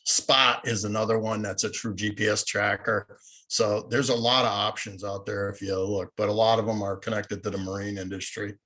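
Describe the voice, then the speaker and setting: A man's voice: on the low side, level tone, moderate pace, bright, forceful tone. A man on a club conference call offering information in a casual, informative way. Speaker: club member offering advice.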